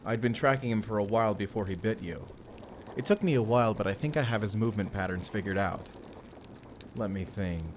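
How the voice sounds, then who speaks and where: A man playing a determined, earnest vampire slayer in a cinematic audio roleplay, explaining things in a measured way. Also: lowish voice, natural voice. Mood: earnest, resolute. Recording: clearly noisy ambience behind the voice.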